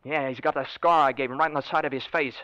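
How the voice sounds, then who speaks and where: An actor playing a desperate, vengeful wounded soldier in an old-time radio drama, urgent and bossy. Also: male voice, mid-range voice, steady tone, fast talker, pushed and bright, highs cut off, natural voice. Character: desperate, vengeful wounded war veteran, a man in a wheelchair. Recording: vintage radio recording.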